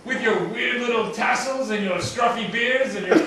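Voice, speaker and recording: A man's voice: very high-pitched, normal speed, over a noisy crowd. A man voicing a cruel, scheming, pompous villain, the king's second-in-command, in a children's puppet show. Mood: outraged, sneering. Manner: pompous, sneering, theatrical. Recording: echoey room, distant mic, background noise.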